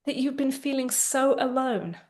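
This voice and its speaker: A woman therapist guiding a tapping exercise, explaining gently with frequent fillers, then leading phrases to repeat. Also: high-pitched, lively intonation, normal speed. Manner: gentle, instructive, many fillers. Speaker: therapist and coach. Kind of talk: guided tapping session.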